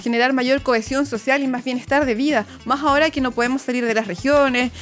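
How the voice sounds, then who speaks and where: A woman's voice: high-pitched, fairly even intonation, a little fast, faint music behind. A Chilean woman speaking as a political candidate in a casual campaign interview, explaining her plans.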